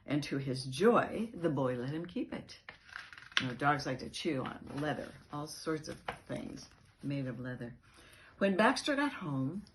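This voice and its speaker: Lady storyteller reading a picture book to kids, unhurried, lowish voice, leans on key words. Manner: expressive, emphatic on key words. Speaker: storyteller, reading organisation's founder. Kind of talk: children's picture book read-aloud with asides.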